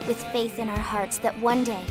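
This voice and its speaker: A woman plays a resolute, hopeful female narrator in a video game story. Her voice sits fairly high, delivering dramatic scripted lines over clearly audible music. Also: fairly even intonation, moderate pace, natural voice. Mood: hopeful, solemn.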